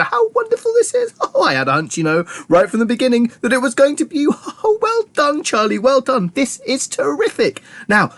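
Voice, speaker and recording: A man's voice: medium pitch, fairly bright tone, no echo, natural voice. A man voicing an exuberant, eccentric chocolate factory owner in a gushing, theatrical storybook manner, bubbling with chuckles.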